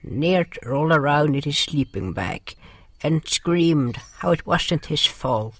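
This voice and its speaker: A man playing a gentle, sorrowful creature who narrates an audio drama in a deliberate, solemn way. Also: on the high side, animated, dry recording. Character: gentle, sorrowful non-human narrator River, caring companion.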